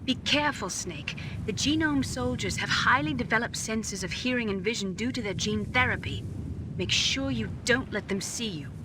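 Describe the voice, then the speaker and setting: A woman voicing a calm, wry female doctor advising over the radio, in a noisy game cutscene. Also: on the high side, normal speed, lightly acted. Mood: cautioning. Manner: calm, warning.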